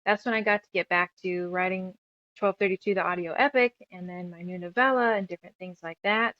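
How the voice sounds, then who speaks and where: A woman's voice: fairly low pitch, steady tone, fairly quick, no room sound. Woman in her thirties, slightly dull audio. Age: 30s. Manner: rambling, emphatic, piles up lists. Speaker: guest author and musician. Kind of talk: casual interview storytelling.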